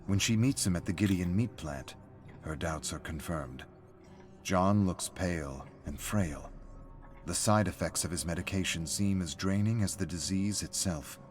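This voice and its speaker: A man reading a scripted dark story as a narrator. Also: sits low, unhurried. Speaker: reader of story entries. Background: music.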